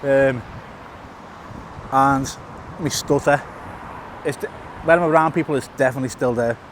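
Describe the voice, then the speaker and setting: A man's voice: mid-range voice, fairly even intonation, normal speed, a little hiss or hum. A middle-aged man, a lisp and a stutter, talking casually to camera on a walk outdoors. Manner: stutters, repeats and restarts words, fillers. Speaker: YouTuber. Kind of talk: casual walk-and-talk vlog. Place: outdoors in a town, by a tunnel.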